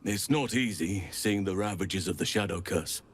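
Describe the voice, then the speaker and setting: A man playing a grave, earnest nature healer in a video game, speaking gently in measured, formal phrases. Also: low-pitched, breathy edge. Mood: grateful. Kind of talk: video game dialogue acting.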